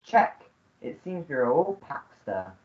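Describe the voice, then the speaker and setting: A woman's voice: very deep voice, sing-song, takes it slowly, phone-quality sound, full character voice. A woman playing a long-suffering, deferential English manservant in a comic melodrama radio play, formal and apologetic. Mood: tactful.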